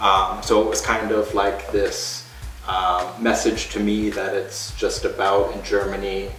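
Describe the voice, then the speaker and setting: Low, flat-voiced man in an echoey room. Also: measured pace. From northeastern United States. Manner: relaxed, with many fillers and restarts. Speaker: guest. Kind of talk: casual interview chat. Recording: echoey room from a distant mic.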